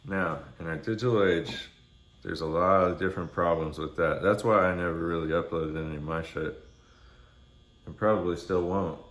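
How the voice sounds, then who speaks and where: Low-pitched man rambling in an echoey room. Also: normal speed, fairly bright tone. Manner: blunt, laid-back, sweary. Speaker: music vlogger. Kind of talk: casual rambling talk to camera. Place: small indoor room with neon signs. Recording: roomy echo.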